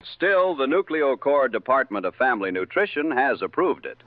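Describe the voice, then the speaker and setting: A man's voice: mid-range voice, very animated, moderate pace, no room sound, close to an everyday voice. A man playing a wry, upbeat 1960s radio host bantering between segments. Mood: wry, cheerful. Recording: dull lo-fi vintage radio sound.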